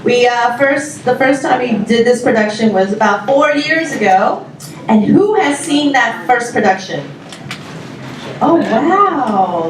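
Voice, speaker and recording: A woman welcomes a live audience, chatty and giggly, often pausing on fillers. Her voice sits a little high. She is heard from a distance in a very echoey hall. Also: noisy background. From Taiwan. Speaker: music club organizer presenting a show. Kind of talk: informal welcome speech to an audience. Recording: very echoey hall, mic far off.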